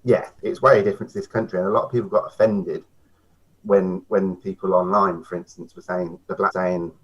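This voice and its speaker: Low-voiced man, halting. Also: flat delivery. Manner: halting, repeats words when starting. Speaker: guest. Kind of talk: casual chat. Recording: dull lo-fi sound.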